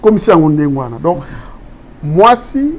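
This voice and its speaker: A man's voice: fairly high pitch, sing-song, unhurried. A man from Congo explaining constitutional law in an interview, mixing French and Lingala and asking rhetorical questions. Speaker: political guest.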